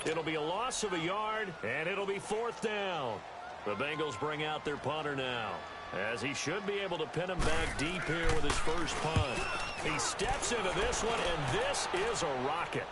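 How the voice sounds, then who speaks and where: An actor playing an American play-by-play football announcer in a video game, with a brisk, polished broadcast delivery. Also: male voice, on the high side, natural voice. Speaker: play-by-play sports commentator. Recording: very noisy game stream, stadium ambience.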